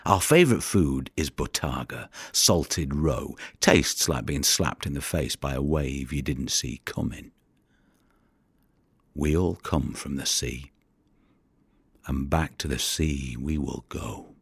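An actor playing a haunted, weary fisherman in an audio drama monologue, with a gravelly, breathy voice and a hushed, brooding delivery. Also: a bass voice, no echo, heavily acted. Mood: reflective, lyrical. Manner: hushed, deliberate, brooding storytelling. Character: haunted, weary Mediterranean fisherman who recovers drowned bodies.

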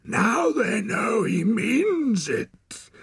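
A man's voice: high-pitched, sing-song, extremely slow, gravelly, slightly rough, no echo, voice pushed up, heavily acted. A man voicing a huge, raging, toothless wild man in an audiobook, roaring and snarling threats in a strained voice. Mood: gloating menace. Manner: bellows and roars, speaks of himself in third person. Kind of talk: audiobook character acting.

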